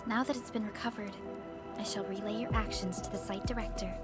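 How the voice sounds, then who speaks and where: A woman playing a composed, formal young team leader in a video game, measured and earnest. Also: mid-range voice, steady tone, over loud music, close to an everyday voice. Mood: calm.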